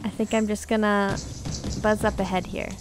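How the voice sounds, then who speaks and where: A woman's voice: mid-range voice, level tone, moderate pace, music in the background. A woman giving casual, chatty video game commentary, thinking aloud and exclaiming as she plays.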